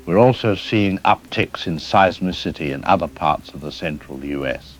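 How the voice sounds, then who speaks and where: An actor playing a calm, middle-aged seismologist who explains things carefully and hedges his words, as a guest in a news interview drama. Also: deep voice, normal speed. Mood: measured. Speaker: expert guest.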